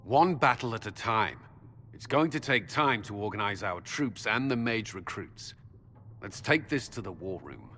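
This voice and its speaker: An actor playing a stern, wary military commander in a fantasy video game, firm and duty-bound. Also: male voice, on the low side, lively intonation, unhurried, pushed and bright. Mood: resolute. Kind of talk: video game dialogue acting. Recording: echoey stone hall, faint background noise.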